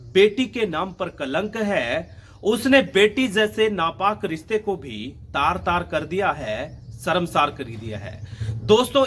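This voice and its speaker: Man, high voice, dramatic true-crime narration, emphatic phrasing, storyteller host addressing the audience. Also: fairly bright tone, slight background noise.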